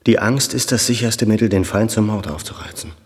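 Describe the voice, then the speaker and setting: A man performs a worried, educated Armenian gentleman in a radio play. His low voice carries a long reverb. He speaks with formal, earnest urgency, questioning and pressing. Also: steady tone, brisk, lightly acted. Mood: anxious, urgent. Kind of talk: German radio drama acting.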